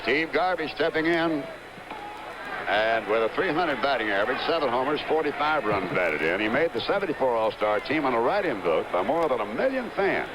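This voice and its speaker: A man's voice: medium pitch, fairly quick. Guy doing baseball play-by-play, middle voice, reads off stats, lots of hiss on an old tv feed. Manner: steady, stat-heavy calling of the action. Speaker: play-by-play announcer. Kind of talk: live baseball commentary. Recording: noisy 1970s broadcast recording.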